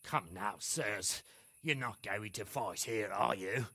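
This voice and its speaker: An actor playing a wary, gruff tavern man in a video game, husky and gravelly, cautioning and placating. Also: on the low side, slow, sharp tone, no room sound, clean studio sound, heavily acted. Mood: wary, warning. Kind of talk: dramatic video game acting.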